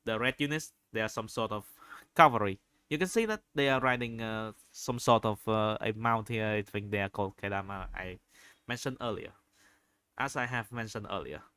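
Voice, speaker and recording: A man giving unscripted video commentary, halting often as he searches for words and repeats phrases. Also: lowish voice, bright, forceful tone, clear, resonant tone, no room sound. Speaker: video host reviewing game screenshots.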